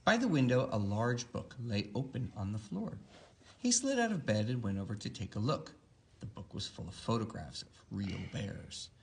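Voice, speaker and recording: A man reading a bedtime story to a child, expressive, with drawn-out emphasis on key words. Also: fairly low pitch, vocal fry, slightly rough.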